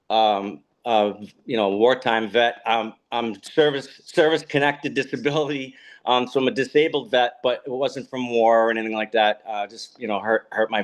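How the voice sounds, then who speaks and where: A man in his fifties who joins a town committee meeting remotely and speaks in a halting way, full of fillers and restarts. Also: mid-range voice, clear, resonant tone. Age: 50s. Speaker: committee applicant. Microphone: remote call audio.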